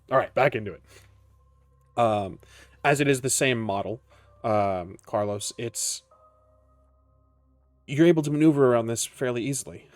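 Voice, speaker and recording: A man's voice: mid-range voice, no echo. A man acting as game master, narrating a tabletop roleplaying game with casual asides.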